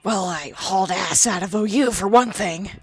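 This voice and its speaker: A woman's voice: mid-range voice, moderate pace, husky, dry recording, faint music behind, lightly acted. A woman voicing a sardonic, crude young female ghoul, a former psychology student, in a game dialogue. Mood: wry. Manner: blunt, crude and defensive. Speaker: companion character. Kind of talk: fan-voiced game dialogue.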